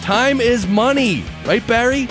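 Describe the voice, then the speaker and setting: A man's voice: very high-pitched, expressive, measured pace, over music. A man hosting a podcast in casual interview chat, playful and slangy, given to exclamations. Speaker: podcast host.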